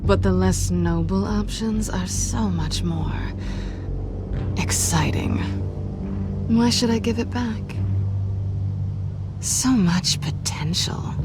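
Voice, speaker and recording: An actress playing a sly, flirtatious but guarded cat burglar in a video game, with a cool, teasing drawl that turns breathy at times. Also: female voice, mid-range voice. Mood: playful, teasing. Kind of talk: video game dramatic acting.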